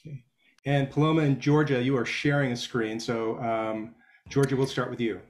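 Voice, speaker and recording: A man moderates a video call at a middle pitch. He calls on students one after another in short, brisk lines. His voice comes through a video call. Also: steady tone. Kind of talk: brisk introductions.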